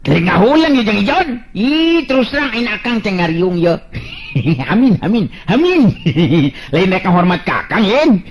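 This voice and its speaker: A man's voice: sits high, very animated, moderate pace, slight background noise. A man with a Sundanese accent telling a folk tale and voicing its hero, chuckling often between lines. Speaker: folk storyteller.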